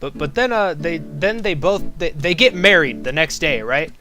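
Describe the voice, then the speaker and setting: A young man casually improvising a comic retelling of a fairy tale, animated and slangy. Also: fairly high pitch, normal speed, faint music behind. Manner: animated slangy delivery, quotes characters. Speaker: storyteller.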